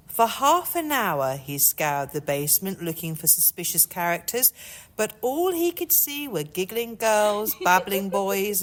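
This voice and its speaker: A woman author reading her own novel aloud in a scripted storybook narration style. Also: medium pitch. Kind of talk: storybook narration and casual chat. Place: chilly old music hall auditorium.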